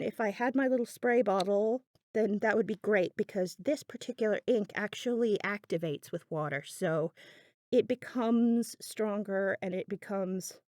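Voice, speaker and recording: A woman's voice: mid-range voice, a little mellow, no room sound, clean studio sound. A woman giving a casual craft tutorial voiceover, chatty and thinking aloud as she goes. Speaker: craft channel host.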